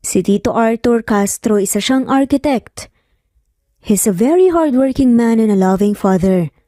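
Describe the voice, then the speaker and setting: A woman voicing a calm, protective young man, a loyal friend who talks gently and in measured phrases in an audio story. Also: fairly high pitch, dry recording, clean studio sound, faint music behind. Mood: sombre.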